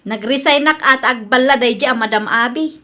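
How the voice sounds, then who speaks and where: A woman's voice: a little high, normal speed, sharp tone. A woman playing an evasive, defensive Ilocano Filipino working wife in a radio drama, stammering and indignant when confronted.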